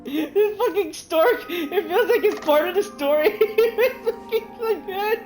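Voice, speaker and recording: A woman's voice: extremely high pitch, animated, a little slow. A woman streaming a game, chatting casually, laughing often and swearing freely. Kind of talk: casual live game commentary. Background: game music.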